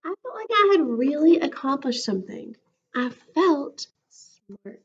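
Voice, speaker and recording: A woman reading a children's story aloud in an expressive, animated way, like a school counselor with a class. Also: high voice, unhurried, dull audio.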